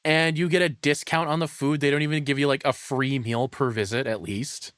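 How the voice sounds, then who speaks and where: A man's voice: medium pitch, steady tone, a little fast, a bit bright, clean tone, no echo, clean studio sound. A man streaming casual reactions, speaking in a blunt, sweary and incredulous manner.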